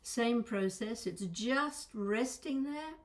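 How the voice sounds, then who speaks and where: A woman's voice: on the high side, slow pace. A woman coaching vocal exercises in a conversational, instructional way, stressing and stretching key words. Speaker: voice and accent coach.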